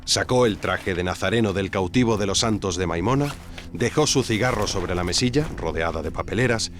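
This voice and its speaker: A man's voice: sits low, brisk, background noise. A man with an accent from Spain giving scripted, dramatic documentary narration. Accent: Spanish (Spain).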